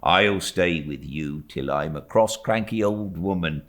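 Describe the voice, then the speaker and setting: A man voicing a warm-hearted, blunt middle-aged woman servant who talks in an emphatic, homely way. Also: sits low, unhurried. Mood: fierce, loyal.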